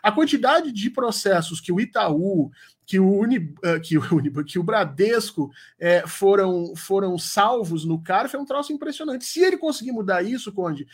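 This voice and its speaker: A man's voice: high voice, lively intonation, moderate pace, breathy edge. A man with a Brazilian accent giving emphatic, opinionated political commentary in a conversational way, as a guest on a live show.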